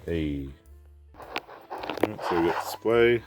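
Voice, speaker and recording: A man's voice: low voice, animated, very slow, music in the background. A man with a head cold, rambling casually as he repairs a computer and thinks aloud. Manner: rambling, thinks aloud, reads on-screen text. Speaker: PC repair vlogger. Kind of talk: casual rambling commentary while working.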